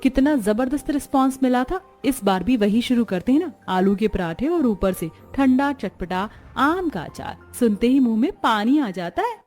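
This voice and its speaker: A voice actress playing an enthusiastic young college-going daughter in an animated story. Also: female voice, a little high, moderate pace, hardly any pauses, faint music behind. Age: young adult. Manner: eager, enthusiastic.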